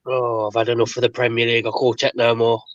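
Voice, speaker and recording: A man's voice: medium pitch, completely flat delivery, quick pace, lo-fi sound. A man, chatting as a guest, weary and sighing, with short echoing replies. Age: 30s. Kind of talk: casual chat.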